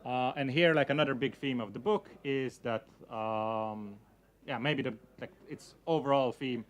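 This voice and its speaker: A man's voice: medium pitch, unhurried. A young man whose first language is Slovenian gives a casual talk to an audience. He hedges a lot and fills his sentences with "you know, like.". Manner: hedging, many fillers like "you know, like". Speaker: speaker giving a talk.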